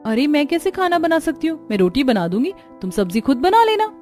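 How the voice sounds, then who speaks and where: A woman voicing a brisk, work-shy cartoon sister-in-law with a dismissive manner. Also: high voice, sing-song, a little mellow, soft background music. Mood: evasive.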